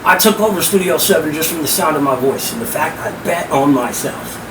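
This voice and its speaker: Hoarse, powerful middle-aged man, far from mic. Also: fairly high pitch, a little fast. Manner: animated, emphatic, profane. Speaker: guest, rap host and promoter. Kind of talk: casual podcast storytelling. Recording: roomy, distant mic with air-conditioning hum.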